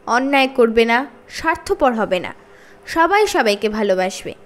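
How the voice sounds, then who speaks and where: A woman reading a children's story aloud in expressive narration. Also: high-pitched.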